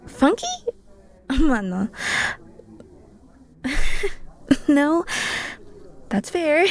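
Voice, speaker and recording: A woman's voice: sits high, creaky, darkish tone, full character voice. A woman playing an enthusiastic, flirty cheesemonger in a roleplay audio, chatty and theatrical, talking straight to the listener. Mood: flustered, wounded pride. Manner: playful, theatrical, chatty, with flustered stammers. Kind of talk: roleplay audio drama, one-sided conversation with the listener.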